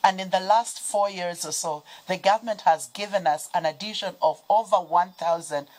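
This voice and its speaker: A Zambian woman talking as a guest on a TV business show, explaining points one by one in a measured way. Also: lowish voice, a little mellow, poor audio. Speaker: guest from a financial development organization. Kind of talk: TV business talk show interview.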